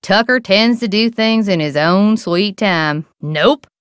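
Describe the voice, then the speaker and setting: A woman's voice: mid-range voice, takes it slowly, smooth, no echo, studio quality, natural voice. A woman playing a folksy, wily small-town shopkeeper with a rural American accent in a video game. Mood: gossipy. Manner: folksy, drawling, colloquial, sing-song coaxing. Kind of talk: video game character acting.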